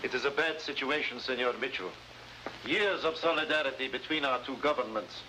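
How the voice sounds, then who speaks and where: An actor playing a formal, cautious head of government, in measured 1950s drama style. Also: male voice, mid-range voice, close to an everyday voice. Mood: grave, cautious. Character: grave, formal Latin American head of government. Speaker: actor in a supporting role. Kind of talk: 1950s spy drama acting. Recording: noisy vintage 1950s broadcast recording.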